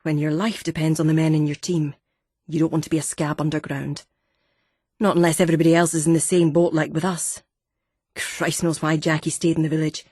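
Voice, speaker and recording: A woman voicing a gruff, wheezy older ex-miner man with a deep, gravelly voice, a Fife accent and a blunt, irritated manner. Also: flat delivery, fairly quick, dark tone, no room sound, clean studio sound. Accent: Fife Scottish.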